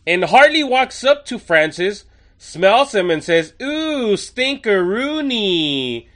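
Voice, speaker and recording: A man's voice: high-pitched, sing-song, slow, fairly bright tone. A man hosting a podcast and reviewing an episode in a chatty, enthusiastic way with wry asides, a little nasal from a cold. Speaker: podcast host.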